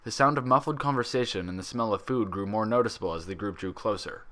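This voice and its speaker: A man reading a story aloud as an expressive narrator. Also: fairly low pitch, fast. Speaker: fan fiction reader.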